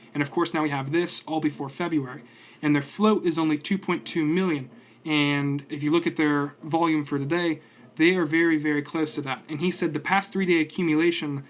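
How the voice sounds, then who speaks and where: A man in his twenties giving casual, rambling stock commentary on his stream, full of fillers and restarts. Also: on the high side. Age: 20s.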